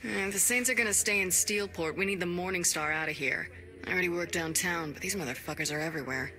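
A woman's voice: on the low side, quick pace, bright, forceful tone, poor audio. An actress playing a tough, sarcastic female gang boss in a video game, blunt and foul-mouthed. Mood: businesslike. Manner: blunt, sardonic.

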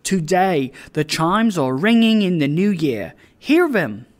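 A jolly, ardent young bridegroom from a Victorian storybook, acted out by a narrator. He declaims in a hearty, generous way at an unhurried pace. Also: medium pitch, lightly acted. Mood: joyful.

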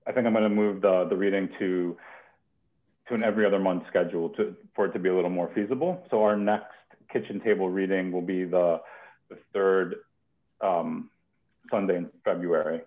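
Young guy hosting a poetry thing, low voice, kinda halting, phone-like call sound. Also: steady tone. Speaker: host of a poetry reading series. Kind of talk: informal event hosting and poem reading. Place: home kitchen. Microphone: headset on a video call. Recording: phone-like narrow-band video call.

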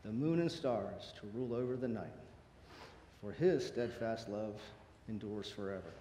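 A middle-aged man reading a psalm aloud in a measured, solemn way at a church lectern. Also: lowish voice, dark tone, slight background noise. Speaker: scripture reader. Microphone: lectern mic. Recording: roomy church echo.

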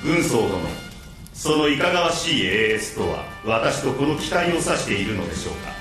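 A man playing a polite, talkative combat machine intelligence in an audio drama, formal, measured and flatly literal. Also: medium pitch, reverberant, over music, lightly acted. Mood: flat, dutiful. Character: polite deadpan combat robot AI, talkative and insubordinate. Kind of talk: Japanese audio drama acting.

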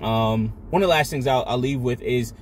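A man's voice: medium pitch, moderate pace, slight background noise. A man talking casually in a rambling, profane way, often searching for his words. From Jamaican home culture. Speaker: video creator. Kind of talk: casual monologue.

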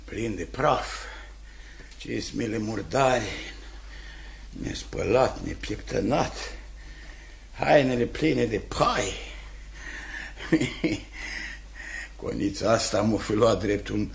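An actor playing a boorish, hot-tempered landowner and creditor, blustering and grumbling in 1950s radio theatre style. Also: male voice, lowish voice, lively intonation, gravelly, long pauses. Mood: grumbling, miserable. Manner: bellowing, blustering, grumbling to himself.

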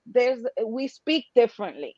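A fairly high-pitched woman speaks with emphasis and passion as an interview guest. She talks conversationally and throws in rhetorical questions. The video-call sound is dry and somewhat dull. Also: big pitch swings, measured pace, a little mellow, no echo. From Dominican-American. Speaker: interview guest, writers' association founder. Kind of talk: animated conversational interview answers. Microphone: computer video-call microphone.

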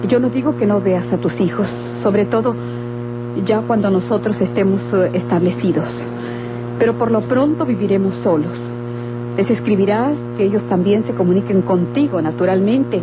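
An actress with a Mexican accent playing a determined, demanding young bride-to-be in a radio drama. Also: female voice, mid-range voice, normal speed, lightly acted. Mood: firm, demanding. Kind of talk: golden-age Mexican radio drama acting. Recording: tinny old radio recording, music behind.

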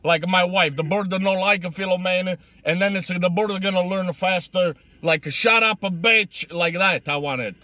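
A man voicing an older immigrant grandfather on a comedic prank call, confused and crude, in halting, repetitive broken English. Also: sits high, bright, forceful tone, no echo. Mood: crude, earnest. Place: inside a parked car.

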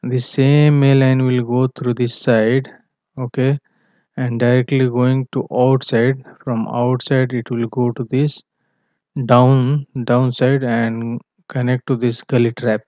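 Male technical tutor, unhurried and monotone, halting delivery full of fillers, dull dry lo-fi voice-over. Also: mid-range voice, no echo. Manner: halting, many fillers and self-corrections. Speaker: MEP engineering tutor. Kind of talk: screen-share drawing walkthrough.

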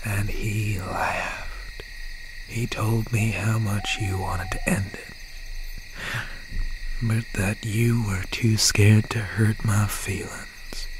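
A man playing an obsessed, menacing country slasher boyfriend in an ASMR roleplay, speaking in a breathy, halting, often whispered voice with folksy phrasing. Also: fairly low pitch, fairly even intonation. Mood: wounded, menacing. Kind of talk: ASMR roleplay, slow and intimate. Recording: noisy night ambience with crickets, owl. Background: crickets, insects and an owl.

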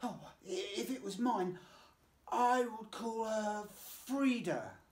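A man voicing a small, stubborn, excitable girl with an insistent childlike manner in a children's storybook. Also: high voice, slow, pitched above the performer's own voice. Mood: excited wonder. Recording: roomy, echoing voice.

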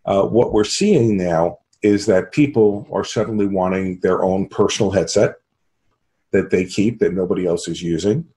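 An American man in his fifties giving a halting product pitch as an interview guest on a video call from a home office. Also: warm tone. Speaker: sales executive, interview guest. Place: small home office, indoors. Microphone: computer video call.

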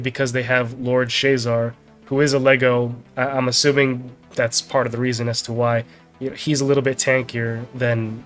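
A man's voice: medium pitch, fairly bright tone. A man in his thirties, slightly nasal as he gets over a sickness, giving a casual game walkthrough. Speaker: game guide video host. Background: background music.

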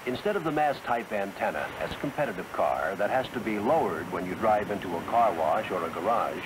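A man's voice: on the low side, expressive, brisk, background noise. An American man reading scripted 1970s promotional narration with a polished, emphatic announcer delivery, on a vintage film soundtrack.

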